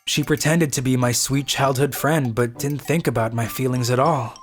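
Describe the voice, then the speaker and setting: A woman playing a timid, socially awkward high school boy who narrates a manga story, meek and hesitant. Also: fairly even intonation, moderate pace, soft background music. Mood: downcast, reflective.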